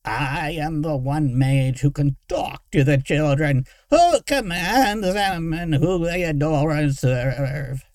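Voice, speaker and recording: A man voicing a crazed villain mage in a fantasy audiobook, shrill and whining, with grandiose archaic pronouncements. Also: takes it slowly, few pauses, darkish tone, no room sound, studio quality.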